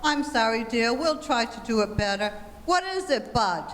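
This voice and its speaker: A woman playing a patient, soothing wife and mother in an old-time radio family comedy, placating and gently firm. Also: on the high side, animated, moderate pace. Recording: reverberant hall stage recording.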